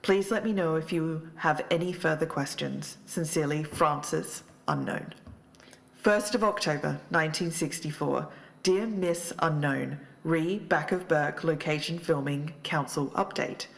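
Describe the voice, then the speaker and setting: A woman's voice: low voice, measured pace, vocal fry. A woman co-hosting an investigative podcast, moving between scripted narration and casual talk. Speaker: podcast co-host.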